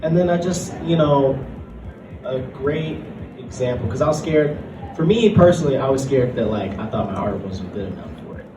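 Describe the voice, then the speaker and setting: A man's voice: medium pitch, expressive, normal speed. Man giving advice in an echoey room. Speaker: panelist, comic writer. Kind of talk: casual panel advice. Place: convention panel room. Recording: echoey, distant, music in background.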